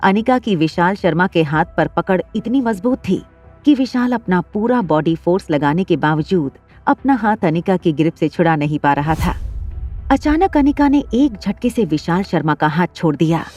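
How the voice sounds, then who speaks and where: A narrator telling an audio drama in an even storytelling manner. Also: medium pitch, normal speed, faint music behind.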